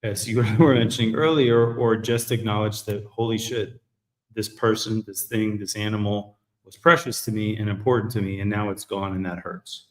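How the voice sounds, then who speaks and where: A man hosting a live men's group talk show, chatting in a relaxed, rambling way. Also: lowish voice, moderate pace, roomy sound. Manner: relaxed, rambling, self-correcting. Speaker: host. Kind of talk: casual live chat.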